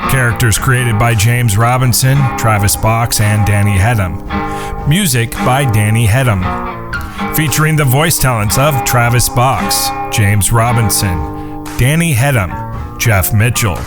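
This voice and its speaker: A man's voice: medium pitch, unhurried. A man reading scripted closing credits as an announcer for an audio drama. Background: loud plucked guitar music.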